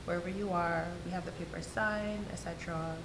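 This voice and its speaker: A woman with a Jamaican accent chats openly about herself as an interview guest. Her voice sits low and her manner is easygoing and candid. A clear background hum runs under the recording. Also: steady tone, a little fast. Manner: easygoing, candid, laughs readily. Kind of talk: casual interview chat.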